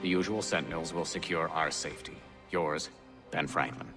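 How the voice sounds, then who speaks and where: A man's voice: rumbling low, normal speed. A man playing an agitated, haughty older official in a video game cutscene, theatrical and easily indignant. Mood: formal, composed. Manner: theatrical, indignant, swooping delivery. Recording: loud background music.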